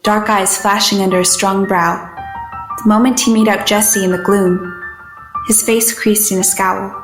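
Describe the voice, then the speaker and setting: A woman acting as an observant, uneasy but determined heroine who narrates an audio drama in a measured, literary manner. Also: medium pitch, steady tone, reverberant, music in the background, lightly acted. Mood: measured narration. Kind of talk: first-person scripted narration in an audio drama.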